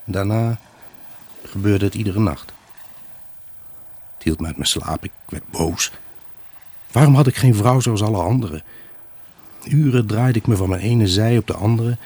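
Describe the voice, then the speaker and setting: A brooding, troubled husband in a radio drama. His voice is low and husky, with a rough grain. He recounts his unhappy marriage as an intimate dramatic monologue. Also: measured pace, long pauses, dark tone. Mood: reflective, weary. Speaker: actor narrating a radio drama. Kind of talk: dramatic first-person monologue in a Dutch radio play.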